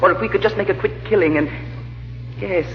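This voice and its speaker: An actor playing a sly, resentful, spendthrift aristocrat who schemes, sardonic and theatrical, in old-time radio drama style. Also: male voice, high voice, brisk, a little hiss or hum. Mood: sardonic, calculating. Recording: reverberant, muffled old radio recording.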